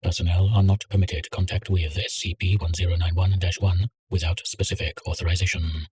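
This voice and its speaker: A man reading a containment document aloud in a measured, clinical way. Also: sits low, little pitch movement, darkish tone, slightly rough, no echo. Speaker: narrator. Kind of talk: scripted reading of a containment file.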